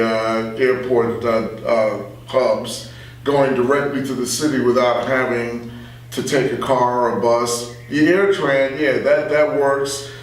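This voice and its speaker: A man taking part in a casual radio discussion, agreeable but ready with his own opinions. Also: medium pitch. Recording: very echoey room, distant mic.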